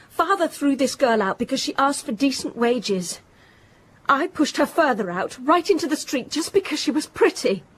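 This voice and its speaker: A woman's voice: high voice, expressive, a little slow, a bit bright. An actress playing an outspoken, disillusioned young upper-class woman in a period radio drama, with candid, pointed delivery. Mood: defiant, accusing.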